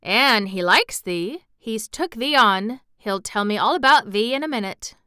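A woman voicing a friendly, easygoing country boy in broad, unhurried Yorkshire dialect for a storybook. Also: fairly high pitch, expressive, pushed and bright, clean tone, no echo, clean studio sound. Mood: easygoing, assured.

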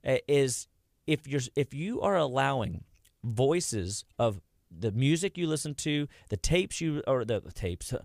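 A man's voice: mid-range voice, lively intonation, measured pace, creaky, a little mellow, no room sound, studio quality. A man, giving a rambling, folksy talk about health as a wellness clinic host. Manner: rambling, folksy, loses his train of thought.

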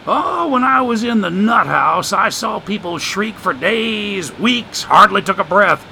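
A man voicing a folksy, wisecracking homeless man who drawls with rough grammar and dry sarcasm. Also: high-pitched, expressive, measured pace, pushed and bright, slight background noise, voice pushed up, exaggerated voice, low-quality recording. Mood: wry.

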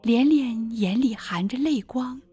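A woman's voice: fairly high pitch, unhurried, dark tone, breathy edge. A woman narrating a scripted radio drama in a storytelling style. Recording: music bed under voice. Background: background music.

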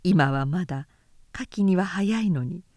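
A woman narrator reads literary fiction with composed, careful phrasing. Her pace is slow and her tone soft-edged and mellow, in a close, dry recording. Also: fairly low pitch, lively intonation, no room sound. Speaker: narrator and reader. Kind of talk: literary story reading, scripted narration.

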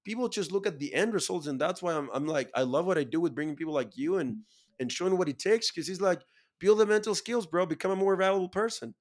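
A man's voice: sits high, fast talker. A man from Chile hosting a podcast, talking casually and motivationally in an earnest, emphatic, slangy way full of "bro" and "dude". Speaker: podcast host.

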